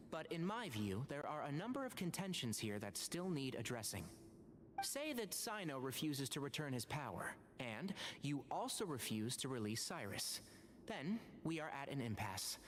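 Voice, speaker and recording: An actor voicing a calm, shrewd young negotiator in a video game, articulate and analytical. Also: medium pitch, lightly acted. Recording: clear background noise and ambience.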